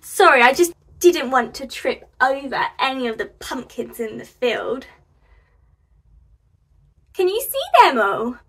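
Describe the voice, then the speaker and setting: Young lady storyteller for little kids, slow n bright, super expressive, mic a bit far. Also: high voice, breathy edge. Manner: animated, expressive, addressing young children. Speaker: children's storyteller and host. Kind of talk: picture-book read-aloud for preschoolers.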